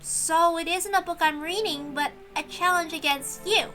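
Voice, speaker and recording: Woman as a very high-voiced, bookish young mystery fan. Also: normal speed, sharp tone, soft background music. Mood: engaged, playful. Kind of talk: dramatic reading of a visual novel.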